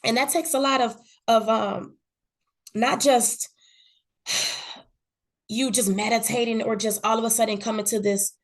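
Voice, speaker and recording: Earnest woman, fairly high voice, candid chat. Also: steady tone, measured pace, long pauses. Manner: earnest, repeats words, often says "you know what I'm saying". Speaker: podcast guest. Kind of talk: candid conversational talk.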